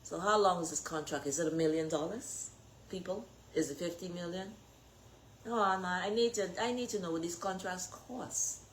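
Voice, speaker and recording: A woman's voice: lowish voice, normal speed, slight background noise, rough recording. A woman with a Bahamian accent speaking as a political candidate in an impassioned address, emphatic and challenging, firing off rhetorical questions.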